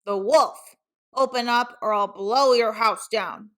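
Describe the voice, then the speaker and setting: A woman voicing a hungry, grumpy male wolf from a children's storybook, loud, blustering and threatening. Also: fairly high pitch, slow pace. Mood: menacing.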